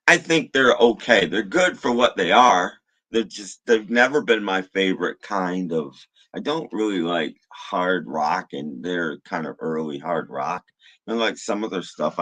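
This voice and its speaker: A man with a rough, slightly hoarse voice rambling casually on a livestream in a halting, low-key, mildly grumbling way. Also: pushed and bright. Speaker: live streamer.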